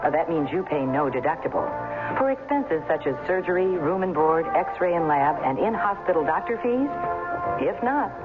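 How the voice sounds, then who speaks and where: A man's voice: a little high, big pitch swings, normal speed. A man reading a scripted 1970s radio advertisement with an emphatic sales delivery, on a muffled old recording. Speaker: commercial announcer. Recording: muffled old radio, loud music behind.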